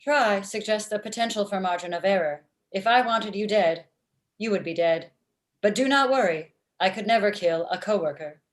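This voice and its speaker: A woman in her thirties playing a blunt, literal-minded, murderous office robot in a comedy table read, with deadpan, formal phrasing. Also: medium pitch, normal speed, lightly acted. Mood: deadpan, matter-of-fact. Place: indoor room at home, video call. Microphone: video call.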